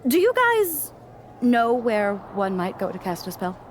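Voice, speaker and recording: A woman's voice: fairly high pitch, wildly swooping pitch, measured pace, slight background noise. A woman voicing an eager, overdramatic young wrestler who wants to be a witch, in a video game. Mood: indignant, determined. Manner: perky, pleading, overdramatic. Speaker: voice actor in a video game cutscene. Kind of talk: animated video game acting.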